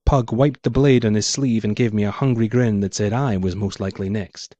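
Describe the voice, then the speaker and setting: A man's voice: on the low side, fairly even intonation, brisk, warm tone, no room sound. A man reading his own noir short story aloud as scripted narration. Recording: dull muffled lo-fi audio.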